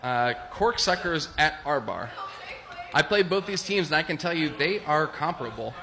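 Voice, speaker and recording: A young adult man hosting a casual podcast, relaxed, announcing matchups and making picks. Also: fairly high pitch, normal speed, crowd noise. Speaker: podcast host.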